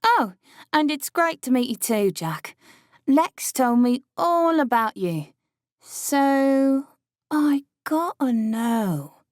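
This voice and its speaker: A man's voice: very high voice, expressive, slow pace, dry recording, studio quality, voice pushed up, full character voice. A male narrator voicing a cheerful, blunt young woman training as a guard, with a chatty, folksy way of talking.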